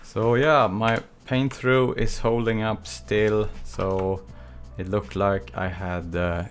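A man's voice: lowish voice, slow, soft background music. A Swedish man in his thirties with a Swedish accent, casually chatting with viewers as he streams a texturing tutorial from a small home studio. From Sweden. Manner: relaxed, chatty, answers viewer chat between long quiet stretches. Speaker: live stream texturing instructor. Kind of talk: casual live stream chat while working. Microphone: desk mic with webcam.

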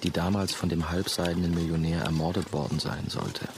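An actor playing a brooding monastery novice who narrates his own story in a measured, musing, dry way. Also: low voice, vocal fry, background noise. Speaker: narrator and main character. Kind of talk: first-person radio play narration.

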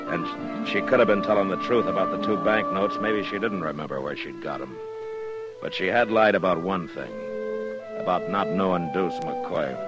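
An actor playing a calm, resolute frontier marshal who also narrates, in a 1950s radio drama. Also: male voice, natural voice. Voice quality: deep, steady, grave. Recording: old radio broadcast with music.

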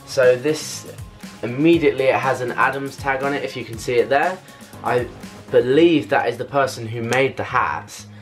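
A man in his twenties with a British accent chats casually and haltingly to camera as a vlogger, trailing off at the ends of phrases. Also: mid-range voice, soft background music. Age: 20s. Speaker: vlogger unboxing a purchase. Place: small bedroom, later a bathroom. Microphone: camera a couple of metres away.

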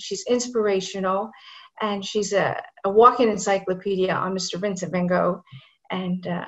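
A woman's voice: mid-range voice, dull audio. Middle-range woman's voice, eager host giving an admiring introduction on a video call. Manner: enthusiastic, admiring. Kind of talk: warm spoken introduction. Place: outdoors in a garden. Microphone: video call webcam.

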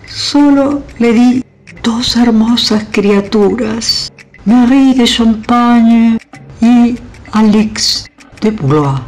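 A woman's voice: a little high, a little mellow. An actress playing a proud, domineering older queen in a historical radio drama, with a theatrical, scornful delivery. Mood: wistful, mocking. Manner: theatrical, emphatic repetitions, haughty. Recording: music under the voice.

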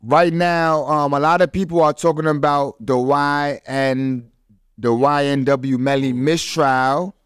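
A man's voice: a little high, fairly even intonation, measured pace, smooth, dry recording. A man hosting a casual podcast chat, telling things in street slang, close on a studio microphone. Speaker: podcast host. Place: small studio with foam panels and curtains.

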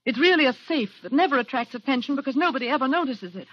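A woman's voice: high voice, expressive, racing, no echo. An actress playing a composed, clever young woman with precise speech in a 1940s radio drama. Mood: cool. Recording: dull vintage 1940s radio recording.

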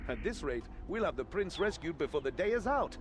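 An actor voicing a brash, plucky young adventurer in a video game, with an excitable, theatrical manner. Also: male voice, a little high, brisk, faint music behind. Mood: confident, curious.

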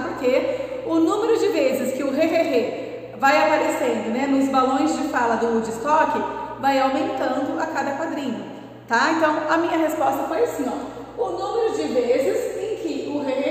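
A woman with a Brazilian Portuguese accent leads a recorded class, speaking in a high voice and often checking in with the children. She sounds distant from the camera. Her voice rings with a long classroom echo. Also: a little hiss or hum. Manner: patient and explanatory, asks the class questions and tags "né?". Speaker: primary school teacher. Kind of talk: recorded online class, correcting workbook exercises. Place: classroom in front of a whiteboard, indoors. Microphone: far camera.

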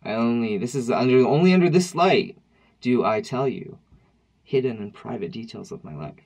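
A man in his late thirties muses to his webcam from a dim room at home. His talk is wry and reflective, circling back on itself as he thinks out loud, in a middle-pitched everyday voice. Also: expressive, moderate pace, breathy edge. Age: 30s. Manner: reflective, wry, conversational with frequent restarts. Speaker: vlogger. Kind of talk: personal vlog monologue.

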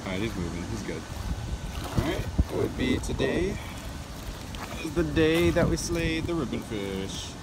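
A man narrating a casual fishing vlog outdoors, speaking off the cuff in a halting way. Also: medium pitch, very animated. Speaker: angler vlogger. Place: outdoors at night on a waterside concrete edge. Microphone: handheld camera or phone, voice off camera. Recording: distant voice, loud outdoor ambient noise.

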